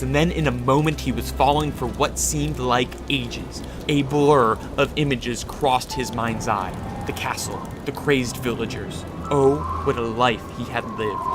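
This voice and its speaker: A man giving dramatic scripted narration for a radio adventure story. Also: mid-range voice. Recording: music behind the voice.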